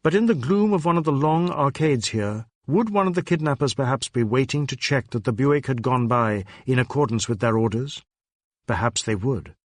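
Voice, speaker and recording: A man's voice: mid-range voice, lively intonation, no echo. Man narrating a crime novel, dry studio sound. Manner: measured, literary storytelling delivery. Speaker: audiobook narrator.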